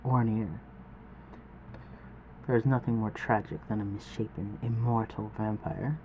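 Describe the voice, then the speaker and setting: A man playing a refined, protective vampire lord in an intimate audio roleplay, speaking formally and with measured command. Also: lowish voice, steady tone, phone-quality sound, slight background noise, lightly acted. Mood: cold menace. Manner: formal, measured, archaic phrasing, dropping to breathy murmurs.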